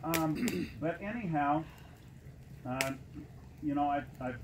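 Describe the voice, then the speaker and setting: A man in his late fifties giving an informal personal testimonial to an audience in a halting, plain-spoken way with frequent pauses. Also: on the high side, lively intonation. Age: late 50s. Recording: noisy live room recording.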